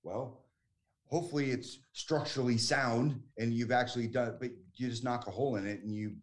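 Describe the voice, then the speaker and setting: A man's voice: medium pitch, steady tone, brisk. A man in his forties from the United States, talking as a guest in a casual conversation, chatty and emphatic with frequent restarts. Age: 40s.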